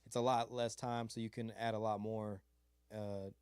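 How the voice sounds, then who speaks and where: Laid-back low-voiced man, rambling. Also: little pitch movement, normal speed, a little mellow, smooth, dry recording. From Mojave desert, California. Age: adult with a child. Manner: laid-back, rambling, full of fillers and slang. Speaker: guest beat maker. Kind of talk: casual interview chat.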